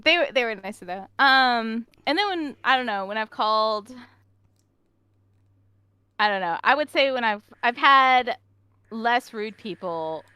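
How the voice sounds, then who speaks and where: A woman's voice: a little high, expressive, a little slow, long pauses, bright, forceful tone, clean tone, no room sound, studio quality. A woman with an American accent, a chatty and emphatic podcast co-host in casual conversation. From United States. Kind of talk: casual chat.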